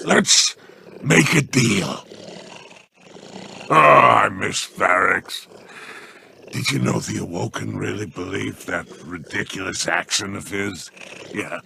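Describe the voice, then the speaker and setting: Gravelly, oily alien crime boss. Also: male voice, fairly low pitch, noisy background, exaggerated voice. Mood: courteous, sly. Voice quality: gravelly, growling. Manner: oily, unhurried menace, mock-courteous. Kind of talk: video game character voice lines.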